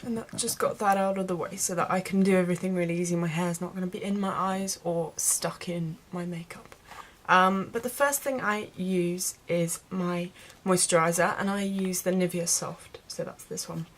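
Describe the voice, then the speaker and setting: A woman's voice: on the low side, fairly even intonation, moderate pace, hardly any pauses. A woman chatting casually as a beauty vlogger, explaining things step by step to her viewers in an informal tutorial style. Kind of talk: casual makeup tutorial chat.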